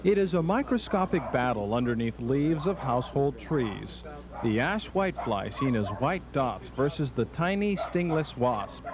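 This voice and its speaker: A man's voice: mid-range voice, very animated, unhurried, crowd noise. A man narrating a scripted television news report.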